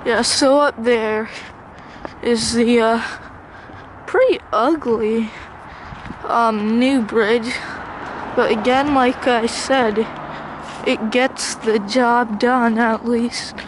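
A vlogger narrating a casual walk-through outdoors in a halting, unscripted way, picked up by a handheld camera. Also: mid-range voice, steady tone. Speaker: vlogger exploring ruins. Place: outdoors in woods by a river and bridge. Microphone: handheld camera mic, operator behind camera. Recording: noisy outdoor camera audio. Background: ambient outdoor noise, passing vehicles.

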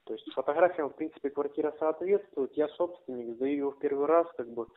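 Fast-talking man on a muffled phone. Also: a little high, no room sound. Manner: wordy, repetitive, filler phrases. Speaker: man offering a flat for rent by phone. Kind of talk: casual phone conversation. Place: recorded phone call. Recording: muffled narrowband phone-call audio.

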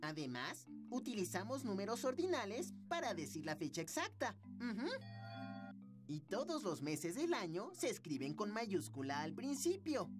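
A woman's voice: medium pitch, big pitch swings, brisk. A woman giving scripted educational narration in an instructive manner. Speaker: lesson narrator. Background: marimba-like music.

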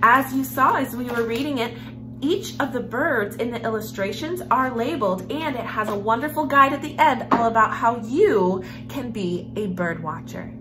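Female children's read-aloud host, young, higher register, very animated delivery over music. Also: moderate pace. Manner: expressive, animated storyteller, with hushed passages. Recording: music behind the voice. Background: background music.

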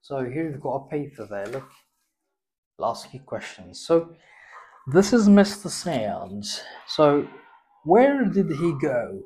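A man's voice: fairly high pitch, sing-song, slow, dark tone, low-quality recording. A young man giving a spoken English test, patiently prompting and correcting a student's answers in a small classroom. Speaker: English teacher.

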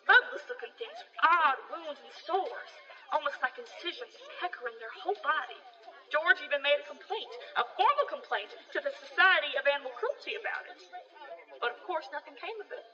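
An uneasy country gossip acted by a woman speaks in a high, forceful voice, spinning ominous folksy tales for a radio play. The sound is muffled and rings in a long hall-like reverb. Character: gossipy, uneasy rural New England villager, a farm neighbor. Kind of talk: radio drama acting, storytelling. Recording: dull echoing hall with music behind.

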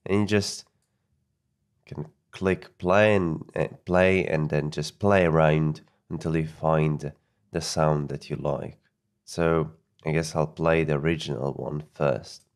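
A man narrating a software tutorial off the cuff, halting and often repeating words. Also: very deep voice, steady tone, smooth. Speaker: audio editing tutorial presenter.

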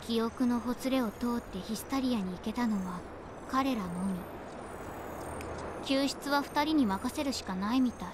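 Actress as calm mysterious game girl guide, highish voice, matter of fact, noisy ambience behind. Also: moderate pace, lightly acted. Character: composed, mysterious young woman guide. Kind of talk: animated game cutscene acting.

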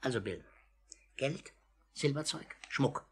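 An actor playing a wheedling, menacing, greedy old crime boss in a 1950s radio play, husky and theatrical, repeating his phrases. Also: mid-range voice, animated, takes it slowly, exaggerated voice. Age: older.

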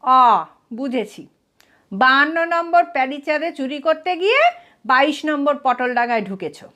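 A woman's voice: sits high, expressive, unhurried, sharp tone, exaggerated voice. A woman voicing a bemused male householder in a comic story, questioning and mildly stern.

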